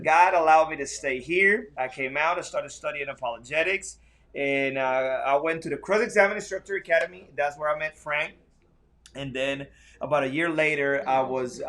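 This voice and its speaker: A man from Costa Rica speaks in a bright, ringing voice, a little higher than most men. He talks earnestly and upbeat in a casual livestream, often pausing on "uh" as he goes. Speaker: ministry executive director. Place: hotel room.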